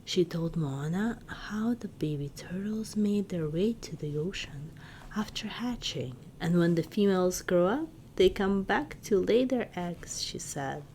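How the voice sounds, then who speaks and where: A woman reading a children's story in ASMR style, in a soft, breathy whisper with deliberate, expressive delivery. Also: lowish voice, slight background noise. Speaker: storybook reader, ASMR creator.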